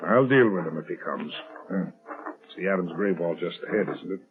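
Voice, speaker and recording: A man's voice: deep voice, fairly quick, noisy background. An actor playing a ruthless, educated male swindler, domineering and menacing, in an old radio melodrama. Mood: cold, commanding. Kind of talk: 1940s radio acting. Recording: tinny, lo-fi old radio recording.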